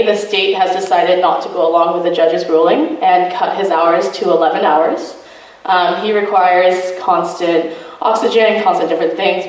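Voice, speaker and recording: A woman activist giving an informal talk to a student group, earnest and loud, with lots of ums. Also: on the low side. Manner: earnest, many fillers. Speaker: activist speaker. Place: college meeting room. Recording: echoey room from a distance.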